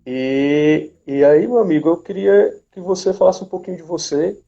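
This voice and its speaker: Creaky man hosting, muffled audio. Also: on the high side, moderate pace, warm tone. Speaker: host, business administrator. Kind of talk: casual live chat hosting. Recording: dull lo-fi live stream audio.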